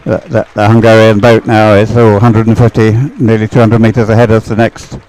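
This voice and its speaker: A man giving live, unscripted sports commentary in a halting way, thinking aloud as he watches. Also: on the low side, completely flat delivery, darkish tone, a little hiss or hum, low-quality recording.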